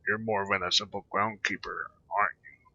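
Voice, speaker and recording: A man's voice: on the low side, level tone, moderate pace, pushed and bright, husky, dry recording, highs cut off. A man doing a casual game playthrough, reading dialogue choices aloud and commenting on them. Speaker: gamer playing through a story.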